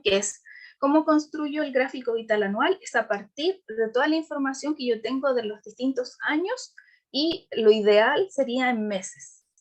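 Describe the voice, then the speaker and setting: Chilean woman giving a halting talk. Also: fairly high pitch, muffled recording. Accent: Chilean Spanish. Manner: hesitant with frequent fillers and restarts; candid, laughs while telling her story. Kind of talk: informal presentation on a video call.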